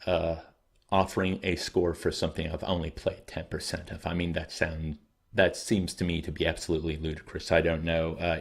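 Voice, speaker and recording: A man hosting his own channel, talking casually and thoughtfully to his viewers, often pausing to weigh his words, his throat a little dry and scratchy. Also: sits low. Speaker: channel host.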